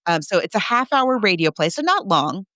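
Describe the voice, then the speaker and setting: A woman's voice: medium pitch, very animated, fairly quick, sharp tone, no echo, studio quality. A woman co-hosting a podcast in casual discussion, chatty and emphatic, often thinking aloud. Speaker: podcast co-host.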